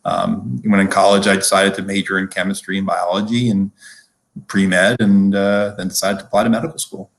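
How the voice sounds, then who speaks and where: A middle-aged man, a physician-scientist guest, telling personal stories casually in a rambling, halting way with repeated words. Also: low voice, level tone, vocal fry. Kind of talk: casual personal storytelling in conversation.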